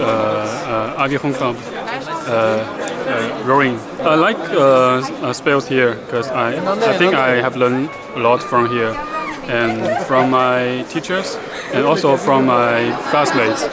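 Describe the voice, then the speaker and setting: A man from China with a Chinese accent, describing his photo project hesitantly with many fillers. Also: mid-range voice. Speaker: photography student. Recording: loud music and crowd. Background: music and crowd chatter.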